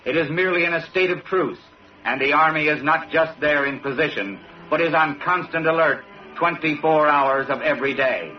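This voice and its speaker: A muffled old broadcast carries a man narrating a documentary over music. His voice sits slightly high for a man, and he reads his script with solemn, dramatic phrasing. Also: moderate pace.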